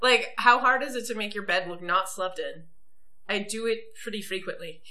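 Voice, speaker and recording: A woman's voice: mid-range voice, normal speed, crowd noise. A woman co-hosting a casual chat about a TV episode, chatty and swearing freely. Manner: chatty, swearing, acts out quoted voices. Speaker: podcast co-host.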